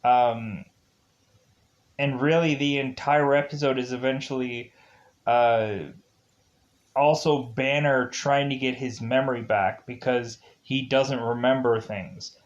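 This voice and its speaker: Man giving a halting, rambling review. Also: medium pitch, fairly even intonation, highs cut off. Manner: halting, repetitive, circling back over phrases. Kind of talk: casual rambling episode-by-episode cartoon review.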